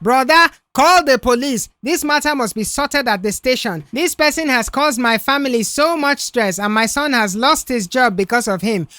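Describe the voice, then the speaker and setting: A narrator voicing an irate mother in an audio story, loud, indignant and commanding as she demands justice for her son. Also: sits high, moderate pace, hardly any pauses, voice pushed up.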